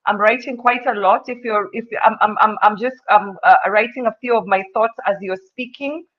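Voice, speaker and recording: A woman's voice: medium pitch, moderate pace. Urgent woman on a dull line. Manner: urgent, rallying.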